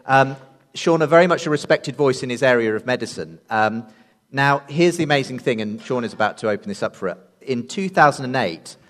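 Animated man hosting a show. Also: medium pitch, fairly quick, no echo, clean studio sound. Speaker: radio and podcast host. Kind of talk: presenting a programme introduction.

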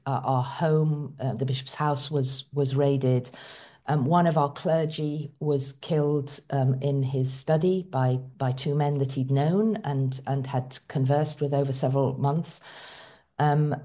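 A middle-aged woman from Iran speaks as an interview guest in a notably low voice. Her tone is dark and mellow. She talks in a reflective, measured way, pausing often to find her words. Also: fairly even intonation. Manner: reflective, measured, with frequent hesitations. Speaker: guest, bishop. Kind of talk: conversational interview.

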